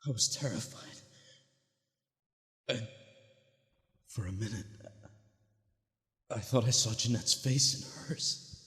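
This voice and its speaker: An actor playing a weary, terrified explorer losing his mind, recording a halting log in an audio drama. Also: lowish voice, a bit bright. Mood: shaken, frightened. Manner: halting, haunted, breaks into whispers. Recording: noisy, echoing cavernous reverb.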